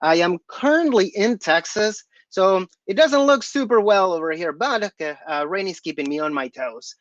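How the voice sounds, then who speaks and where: A man's voice: high voice, animated, moderate pace, no echo, highs cut off. A man co-hosting an online developer event over a video call, friendly, with a frequent "okay" and "absolutely". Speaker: co-host. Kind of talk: event hosting, housekeeping announcements.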